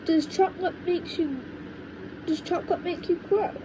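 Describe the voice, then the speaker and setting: A woman voicing a doubtful eight-year-old boy in a storybook, pleading and protesting. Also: normal speed. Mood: puzzled. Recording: noisy low-quality home microphone recording.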